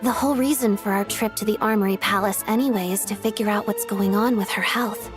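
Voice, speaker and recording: Composed, practical game heroine, voiced by an actress. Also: female voice, mid-range voice, steady tone, fairly quick, natural voice. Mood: reflective, agreeable. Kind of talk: video game dialogue acting. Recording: studio voice with faint game music. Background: faint music.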